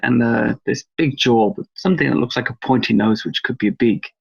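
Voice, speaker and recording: A man's voice: medium pitch, fairly quick, gravelly, husky, lo-fi sound. A middle-aged man from Wales, an author answering interview questions in a rambling, enthusiastic way with lots of fillers. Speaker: cryptozoology author.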